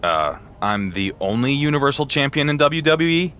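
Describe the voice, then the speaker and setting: A man's voice: medium pitch, big pitch swings, normal speed, fairly bright tone. An actor voicing an earnest male wrestling champion in a video game cutscene, talking in a plain, wary way.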